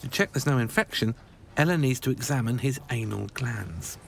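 Gravelly male documentary narrator. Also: mid-range voice, lively intonation, normal speed, fairly bright tone, slight background noise.

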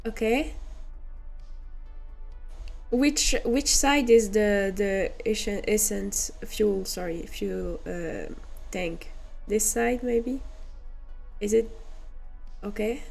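A woman's voice: mid-range voice, drawn-out words, vocal fry, pauses often, darkish tone, soft background music. A woman with a French accent, a streamer hesitantly thinking aloud while playing a game. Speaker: VTuber streamer. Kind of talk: casual game commentary.

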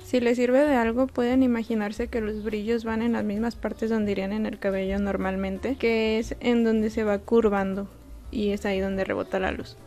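A woman's voice: mid-range voice, flat delivery, moderate pace, warm tone, soft background music. A young woman, casually narrating her painting process in a chatty, self-deprecating voice-over.

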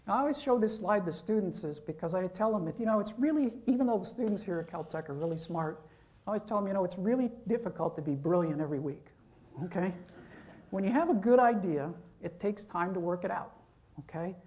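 A man's voice: sits high, animated, fast, darkish tone. A man, a professor, giving an informal university lecture, talking conversationally and checking in with "okay?".

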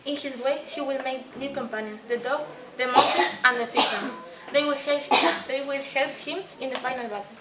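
High-voiced young woman presenting. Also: brisk, few pauses. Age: young adult. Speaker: student presenter. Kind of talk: reading a scripted story introduction. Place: indoor room, standing before distant camera. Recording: echoey room, distant mic, background noise.

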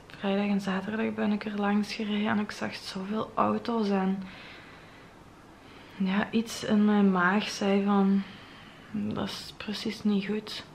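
A young woman with a Flemish accent, talking to camera in a vlog after crying, her voice soft, breathy and trailing into whispers. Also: mid-range voice, a little hiss or hum. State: tearful, voice heavy from crying. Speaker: vlogger. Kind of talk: emotional vlog monologue to camera. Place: bright modern home interior. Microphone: camera at close range.

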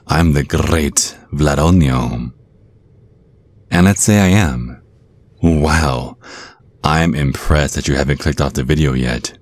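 A man acts out a predatory vampire stage magician for an ASMR roleplay. The voice is exceptionally deep and unhurried. He is hypnotic, smug and domineering, taunting the listener with suave assurance. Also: dry recording, full character voice. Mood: teasing.

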